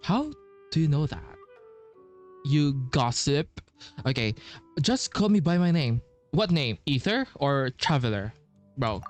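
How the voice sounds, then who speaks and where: A man's voice: fairly high pitch, big pitch swings, slow pace, pauses often. A young man giving casual, laid-back playthrough commentary as a gaming streamer, with muttered asides. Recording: game music in background. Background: game music.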